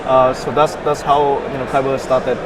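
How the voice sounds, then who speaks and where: A young man from Vietnam answers as a founder in an interview, and he stumbles and restarts with lots of "uh" and "you know". His pitch sits mid-range for a man. Steady traffic noise and passing vehicles are clear behind him. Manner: halting, many fillers and repeated words. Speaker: startup founder, interview guest.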